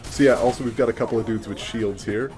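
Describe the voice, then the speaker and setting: Male gaming host, mid-pitched, conversational and explanatory, casual commentary with music underneath. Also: steady tone, quick pace.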